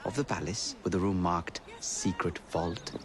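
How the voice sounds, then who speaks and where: An actor playing an earnest, courteous Victorian gentleman ally in a video game cutscene. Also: low-pitched, expressive, measured pace, a crowd in the background. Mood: informative, earnest.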